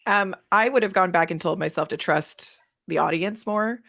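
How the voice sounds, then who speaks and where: Low, creaky-voiced woman reflecting. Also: normal speed, fairly bright tone, dry recording, clean studio sound. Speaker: panelist, podcast writer. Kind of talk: reflective panel discussion.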